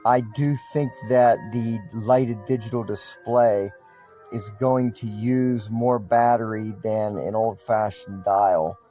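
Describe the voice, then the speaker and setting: Male reviewer, low-pitched and mellow, casual and matter-of-fact, faint music behind. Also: fairly even intonation, slow pace, highs cut off. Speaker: product reviewer. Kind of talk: casual unscripted product review.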